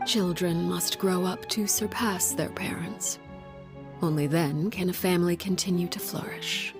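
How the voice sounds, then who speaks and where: An actress playing a stern, composed female head of an assassin family in a game cutscene, speaking in a measured, authoritative way. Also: lowish voice, steady tone. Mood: commanding. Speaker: video game character. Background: faint music.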